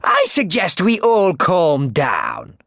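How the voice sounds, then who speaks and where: Brief: male reader doing a cold, drawling villainess, raised pitch and swooping lines. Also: wildly swooping pitch, slow, creaky, a bit bright, no echo, studio quality, heavily acted. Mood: cool menace. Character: female villain, icy, drawling, scheming.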